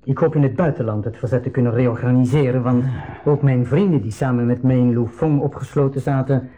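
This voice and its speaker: A man playing a composed rebel leader in a radio drama, earnest, measured and deliberate. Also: mid-range voice, steady tone, mellow, lightly acted. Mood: composed, resolute. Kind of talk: 1980s school radio drama acting.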